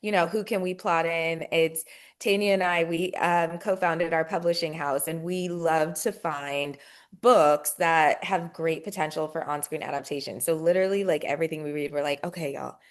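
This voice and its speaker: A woman's voice: deep voice, steady tone, normal speed. A woman co-hosting a casual book club chat over a video call, speaking reflectively and addressing the audience as "y'all". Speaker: co-host.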